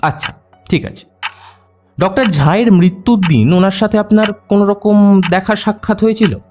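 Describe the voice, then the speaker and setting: An actor playing a composed, polite but insistent private detective in an audio drama, speaking in a measured way. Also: male voice, high voice, a little mellow, close to an everyday voice. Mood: probing, polite. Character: composed, probing male private detective questioning suspects. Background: background music.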